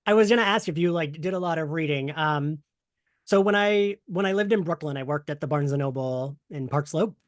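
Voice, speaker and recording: High-voiced man hosting, dry sound. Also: no room sound. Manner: chatty and quick to laugh. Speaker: podcast host. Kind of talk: casual interview chat.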